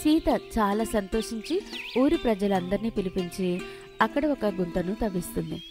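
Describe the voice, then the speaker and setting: A woman's voice: medium pitch, darkish tone. A woman narrating a scripted children's moral story as the storyteller. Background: faint music.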